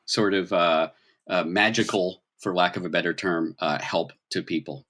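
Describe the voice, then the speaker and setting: A deep-voiced man acts as game master. He improvises the narration of a tabletop story in a conversational, explanatory way, checking in with his players as he goes. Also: fairly even intonation, normal speed, fairly bright tone.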